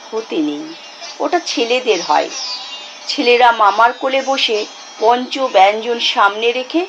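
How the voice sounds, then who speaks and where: A woman reciting a poem dramatically, in a measured, expressive way with deliberate pauses. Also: mid-range voice, rough recording. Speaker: poetry reciter. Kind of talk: dramatic Bengali poetry recitation. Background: instrumental music.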